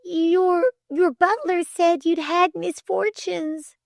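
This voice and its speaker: A woman's voice: very high-pitched, steady tone, takes it slowly, creaky, dry recording, studio quality, voice pushed up, exaggerated voice. A woman voicing an earnest, flustered young girl who talks formally and hesitantly in an audiobook story. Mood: timid, earnest.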